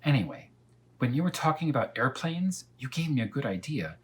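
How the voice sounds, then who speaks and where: A talkative female storybook cat read aloud by a man unfolds a tale for children. The delivery is earnest and unhurried, with very animated pitch that leans on key words. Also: a little hiss or hum, lightly acted. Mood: encouraging.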